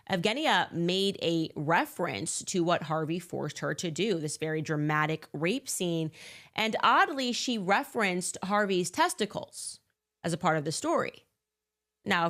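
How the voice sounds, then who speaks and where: A woman's voice: fairly low pitch, a bit bright. A woman giving a commentary monologue as a podcast host, with sarcastic, incredulous asides.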